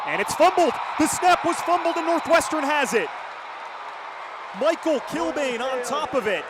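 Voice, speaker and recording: A man in his twenties calling a football game on radio in clipped, descriptive phrases. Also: very high voice. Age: 20s. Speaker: play-by-play football announcer. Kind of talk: live radio sports commentary. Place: live at a football stadium. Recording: loud stadium background noise. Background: stadium ambience and noise.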